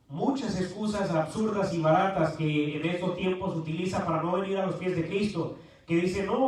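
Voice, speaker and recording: A man adding measured, earnest commentary to a sermon, with a few hesitations. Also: sits high. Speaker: church brother co-presenting. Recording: echoey room, mic at a distance.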